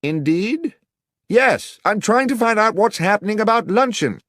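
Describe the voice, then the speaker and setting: An earnest, polite gentleman, played by a male audiobook reader, raises his voice well above normal pitch to call out. He sounds plaintive yet courteous, with lively intonation in a dry, close recording. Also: moderate pace, no echo. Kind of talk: audiobook character dialogue.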